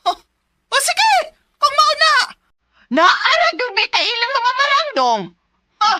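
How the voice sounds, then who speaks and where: A woman's voice: very high-pitched, sing-song, takes it slowly, bright, forceful tone, a little hiss or hum, full character voice. An actress in a Bisaya radio drama playing a combative, threatening mother who fiercely defends her child. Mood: furious, threatening.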